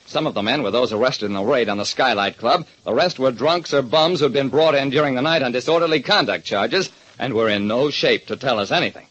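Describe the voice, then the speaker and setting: An actor playing a private detective who narrates in a dry, deliberate way in a radio drama. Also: male voice, medium pitch, a bit bright, breathy edge. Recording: dull lo-fi old radio broadcast.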